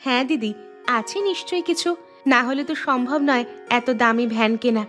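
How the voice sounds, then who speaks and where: Earnest village mother for a cartoon, female actor: high, gentle, polite, music clearly behind. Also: brisk, close to an everyday voice. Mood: patient, earnest. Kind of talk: Bengali animated moral story acting.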